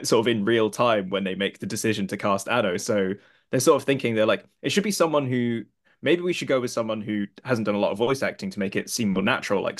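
A man, a film critic guest on a podcast, telling stories in a loose, chatty way with lots of fillers. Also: on the low side. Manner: chatty storyteller, many "like" fillers. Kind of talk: casual podcast film discussion.

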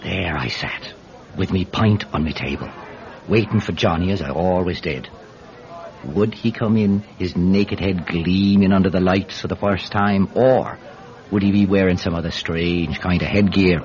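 A man's voice: low voice, measured pace. An actor playing a chatty, scheming Irish villager who tells his tale in a folksy, blustering way in a radio drama. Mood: animated storytelling. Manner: animated, folksy, stammering when flustered. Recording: dull, noisy radio-drama recording.

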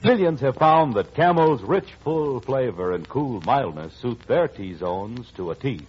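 Animated American man, 1940s radio announcer. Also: medium pitch, unhurried, husky. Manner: emphatic, formal projection. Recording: dull old radio recording, music behind.

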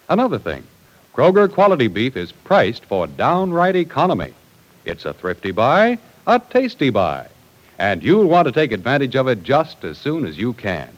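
An American man announcing in an old-time radio style, with emphatic, polished salesmanship for sponsor pitches and serial narration. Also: poor audio.

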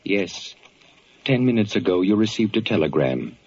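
An actor playing a calm, saintly young intern doctor in old-time radio drama, speaking formally and with gentle, lofty solemnity. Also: male voice, lowish voice, normal speed, breathy edge, heavily acted. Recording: dull old radio recording, loud music.

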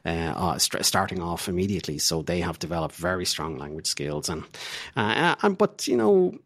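Irish male, middle-aged, very low voice, halting explanatory interview delivery, close dry sound. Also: sing-song, creaky, a bit bright, husky, no room sound. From Ireland. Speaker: diplomat, interview guest. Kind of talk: conversational interview answers.

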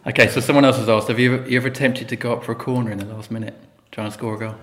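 Quick low-voiced interviewer in an echoey room. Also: male voice, little pitch movement, a bit bright, slight background noise. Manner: quick, loosely phrased questions. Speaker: podcast interviewer. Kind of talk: interview questions.

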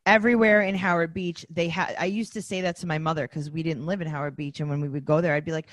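A woman's voice: sits low, steady tone, fast. A woman co-hosting a casual podcast, adding wry, teasing asides. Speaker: podcast co-host.

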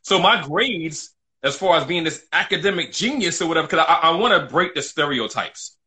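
A man's voice: a little high, lively intonation, fairly quick, pushed and bright. A middle-aged man from New City, telling his own story casually as an interview guest, emphatic and often repeating himself. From New York City, United States.

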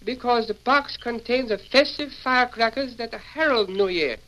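An actor playing a dignified Chinese immigrant in an old-time radio western, speaking in stilted, formal, proverb-like phrases. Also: male voice, extremely high pitch, moderate pace, bright, forceful tone, slight background noise, poor audio. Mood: solemn, polite.

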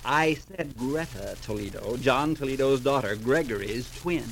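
Fussy folksy american neighbor, old radio show, rambly, hissy recording. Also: male voice, medium pitch, unhurried, creaky. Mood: gossipy, indignant. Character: chatty, rambling, folksy male small-town neighbor. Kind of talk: 1940s-50s radio drama acting.

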